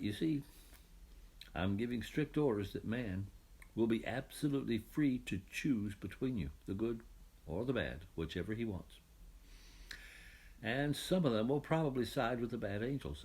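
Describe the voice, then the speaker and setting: A man reading a children's Bible storybook, voicing God as a calm, fatherly creator who explains things with gentle authority. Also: fairly low pitch, breathy edge.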